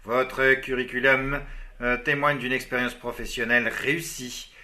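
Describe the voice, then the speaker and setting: An actor playing a polite, businesslike recruiter who conducts a job interview in formal, courteous tones, in a scripted learner dialogue. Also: male voice, medium pitch, steady tone, moderate pace, pushed and bright.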